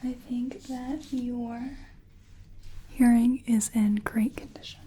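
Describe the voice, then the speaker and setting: A woman voicing a gentle, attentive clinician in a soft-spoken ASMR medical roleplay, breathy and often whispering, with a reassuring manner. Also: fairly high pitch, flat delivery, slow pace, a little hiss or hum, low-quality recording. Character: gentle, attentive female clinician giving a check-up.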